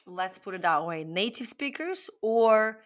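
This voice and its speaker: A woman co-hosting a casual podcast conversation, thinking aloud in a reflective way with frequent pauses. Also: medium pitch, lively intonation. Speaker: podcast co-host.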